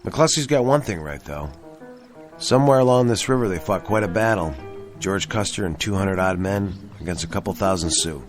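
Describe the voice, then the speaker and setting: An actor playing a seasoned, level-headed army tank sergeant in a radio drama, measured and plain-spoken. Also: male voice, low voice, lively intonation, faint music behind. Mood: matter-of-fact.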